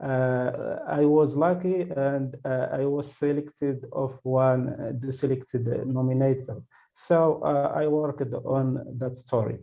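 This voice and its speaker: A man from Morocco, a journalist, answering on a webinar over a video call, halting with many fillers. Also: medium pitch, fairly even intonation, gravelly. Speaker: award-winning journalist. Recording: dull lo-fi call audio.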